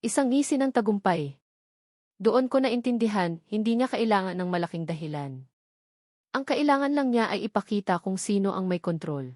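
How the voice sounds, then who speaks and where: A woman reads scripted dramatic fiction as its narrator. Her delivery is measured and builds suspense. The sound is close and dry, with very little room on it. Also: mid-range voice, lively intonation, warm tone, no room sound. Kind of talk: dramatic scripted first-person story narration.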